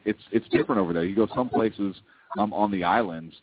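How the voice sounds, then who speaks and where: A man from Hawaii talking casually about his family, with many pauses and restarts. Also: on the low side, background noise. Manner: halting, full of ums and restarts. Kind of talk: casual chat. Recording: tinny phone-like line.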